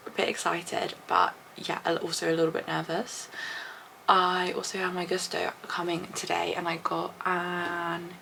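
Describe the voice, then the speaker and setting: A young English woman vlogging casually to a handheld camera, chatty and rambling, her voice a little husky and deep, sometimes dropping to a soft whisper. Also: slight background noise, rough recording. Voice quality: slightly deep, husky morning voice. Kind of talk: casual vlog chat to camera. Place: at home and outdoors after a run. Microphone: small handheld camera.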